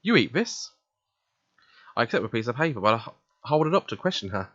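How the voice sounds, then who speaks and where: A man's voice: mid-range voice, very animated, rapid-fire, pushed and bright, no room sound, dull audio. A man reading a visual novel aloud, narrating in the first person and voicing its dialogue.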